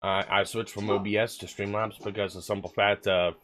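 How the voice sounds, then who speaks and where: A man's voice: lowish voice, level tone, brisk, a bit bright. A man streaming live, chatting casually while sick with a cold and cough, rambling with many fillers and the odd swear word. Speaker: livestreamer. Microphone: desk microphone.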